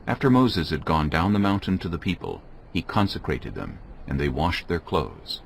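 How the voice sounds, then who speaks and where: A man giving a measured, solemn scripted narration of scripture as the narrator. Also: sits low, big pitch swings, creaky, a little hiss or hum. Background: music.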